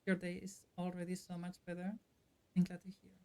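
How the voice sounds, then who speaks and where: A woman streamer chatting casually with her viewers in a friendly, unhurried way while playing a game. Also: on the low side, completely flat delivery, warm tone, smooth.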